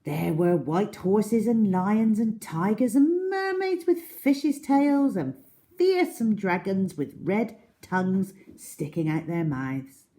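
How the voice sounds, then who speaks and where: A woman reading a children's story aloud in a dramatic, deliberate, expressive way. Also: medium pitch.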